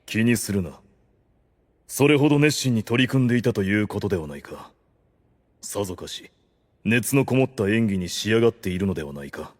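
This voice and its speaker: Lordly ruler with swooping delivery. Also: male voice, on the low side, animated, fairly quick, pauses often. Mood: calm, lordly. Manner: formal authority slipping into casual muttering. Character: male ruler, lordly aloud, awkward and exasperated in private. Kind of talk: Japanese anime audio drama acting. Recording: music behind the voice.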